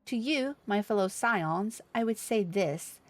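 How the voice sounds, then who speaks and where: Woman reading as earnest fantasy leader, animated delivery. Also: medium pitch, unhurried, lightly acted. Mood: earnest, resolute. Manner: formal, solemn, oratorical. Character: earnest, formal fantasy order leader rallying comrades.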